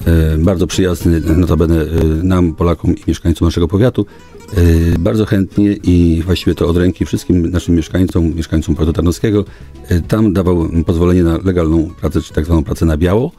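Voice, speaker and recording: A middle-aged man with a very deep, dark voice gives formal answers in a small radio studio. He pauses often and stretches out his hesitations. Faint music plays behind him. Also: fairly even intonation. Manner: halting, formal official speech with frequent hesitations. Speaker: local government official, guest. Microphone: studio boom microphone.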